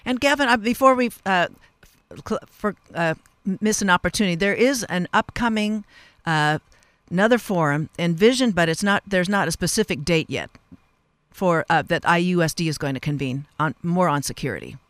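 A man's voice: high-pitched, animated, normal speed, a bit bright, smooth, no echo. A man hosting a radio panel, putting long, hesitant questions to his guests. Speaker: radio host. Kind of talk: moderating a panel interview.

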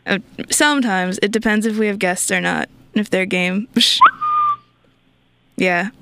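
A woman's voice: medium pitch, steady tone, a little slow, vocal fry, crowd noise. A woman hosting a casual radio interview, giggling and laughing often as she talks. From not from the country of the show. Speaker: radio show host.

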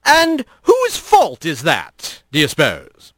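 A man's voice: a little high, huge pitch swings, takes it slowly, a bit bright, no echo, studio quality, heavily acted. A man voicing a sly, lordly fox spirit in a storybook, airy and mock-polite with a teasing, cutting edge. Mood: lightly cutting. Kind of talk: animated storybook character reading.

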